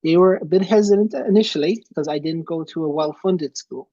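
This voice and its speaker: A man's voice: a little high, a little fast, dark tone, dry recording, highs cut off. A young man with an Irish accent giving a chatty, friendly webinar presentation from a small room at home, speaking into a headset. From Ireland. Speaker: presenter and software founder.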